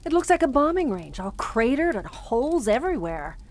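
An actress playing a sardonic, uneasy young wife on honeymoon, in old-time radio drama style. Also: female voice, sits high, sing-song, moderate pace, darkish tone, slight background noise. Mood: exasperated, uneasy. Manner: dry, sarcastic.

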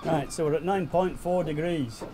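A man's voice: fairly high pitch, normal speed. A middle-aged man, explaining a boat survey test practically to a group at a marina, thinking aloud with restarts. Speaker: surveyor demonstrating a heel test. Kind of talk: on-site technical demonstration. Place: outdoor marina, on a small boat. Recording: outdoor noise around voices.

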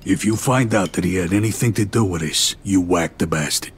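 An actor playing a gruff, middle-aged American mobster in a video game, giving orders in a matter-of-fact way. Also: male voice, deep voice, brisk, a little hiss or hum, natural voice. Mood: grave, commanding. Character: middle-aged mob underboss, gruff and businesslike. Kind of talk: video game cutscene acting.

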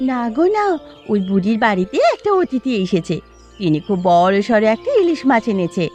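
A woman's voice: medium pitch, big pitch swings, brisk, a little mellow, faint music behind, close to an everyday voice. A woman voicing a sly, greedy village neighbour wife in a Bengali cartoon. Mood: scheming, eager.

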